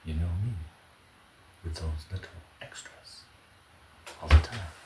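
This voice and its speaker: A man with a low voice talks slowly in a casual ASMR style, as if hosting at home in the kitchen. He drops in murmured asides and small hums, and the room adds a noticeable echo over clear background noise. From Canada. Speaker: ASMR video host. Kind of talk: ASMR, casual cooking chat.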